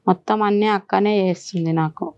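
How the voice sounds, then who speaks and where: A woman's voice: medium pitch, steady tone, a little fast, darkish tone, no echo. A woman in her thirties with a Telangana Telugu accent, answering plainly as a patient about her condition. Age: 30s. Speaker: guest, patient. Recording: slightly dull, lo-fi sound.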